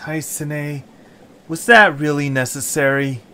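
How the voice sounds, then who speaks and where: A man's voice: a little high, fairly even intonation, a little slow, bright, forceful tone, pitched above the performer's own voice. A man playing an earnest, exasperated young leader in a fantasy audio adventure. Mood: defensive, hesitant.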